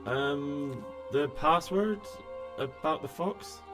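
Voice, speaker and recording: An actor playing an earnest, plain-spoken young errand runner in a medieval video game, direct and questioning. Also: male voice, fairly high pitch, slow pace, over music. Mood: tentative. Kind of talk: video game cutscene acting.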